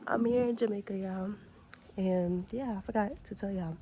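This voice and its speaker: A young woman with a raspy voice from a cold, chatting casually and bluntly to her vlog camera outdoors, full of fillers and slang. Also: medium pitch, normal speed, warm tone, slight background noise. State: sick with a cold, runny nose. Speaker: vlogger. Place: outdoors on a hot morning with a view.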